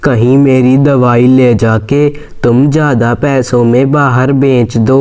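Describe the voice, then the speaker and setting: A man's voice: mid-range voice, steady tone, takes it slowly, darkish tone, clear, resonant tone, lightly acted. A man voicing a suspicious, scornful crow who taunts grudgingly in a cartoon story. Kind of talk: Hindi moral-story cartoon dialogue.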